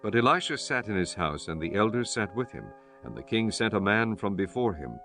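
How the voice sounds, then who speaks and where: Deep, expressive male scripture narrator over music. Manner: solemn, measured storytelling. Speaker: audiobook narrator. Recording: music bed under the voice.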